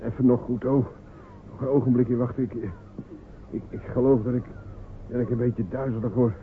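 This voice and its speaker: An actor in a radio play playing a timid, faltering villager who is fearful and whining. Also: male voice, mid-range voice, flat delivery, normal speed, natural voice. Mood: weak, dizzy. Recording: tinny noisy old radio play recording.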